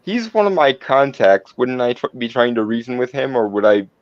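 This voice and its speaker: A man's voice: mid-range voice, very animated, moderate pace, no room sound. A man chatting casually as a player in a tabletop game, musing aloud, recorded on a dull lo-fi microphone. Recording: dull lo-fi, dry mic.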